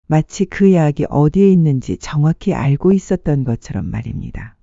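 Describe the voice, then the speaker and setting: A narrator reading a dramatic story in a measured, sentimental storytelling manner. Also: mid-range voice, expressive, creaky, warm tone, no echo, lo-fi sound. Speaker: audiobook narrator.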